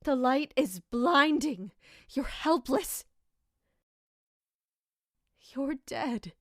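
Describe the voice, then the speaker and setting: An anguished female captain in an audio drama. Her voice sits fairly high and she speaks very slowly, with brooding intensity. The sound is close and dry, and her bitterness and sense of duty run through it. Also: animated, fairly bright tone, no echo, heavily acted. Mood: haunted, brooding. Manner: slow, brooding, emotionally intense.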